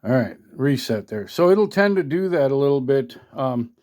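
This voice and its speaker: A man's voice: mid-range voice, very animated, moderate pace, darkish tone. A man giving a casual hands-on product demo in a folksy, colloquial, mildly salty way, recorded on a handheld phone at a workbench. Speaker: product reviewer, radio hobbyist. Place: at a workbench indoors.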